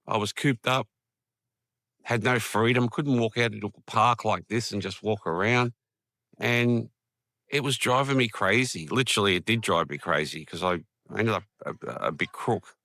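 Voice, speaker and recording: A low-pitched Australian man, croaky with a cold, with a gravelly, bright edge to his voice. He rambles casually to the camera with plenty of ums and restarts. The sound is dry and close. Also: no echo. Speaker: vlogger. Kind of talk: casual vlog talk to camera. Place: outdoors in a quiet park.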